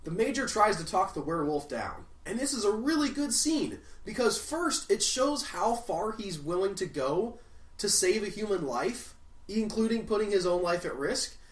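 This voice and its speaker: Male comedy film reviewer, sarcastic, ranting, very animated pitch, roomy echo. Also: normal speed. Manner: sarcastic, swings into loud outraged rants. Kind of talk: comedic movie review narration. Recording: roomy echo, mic at a distance.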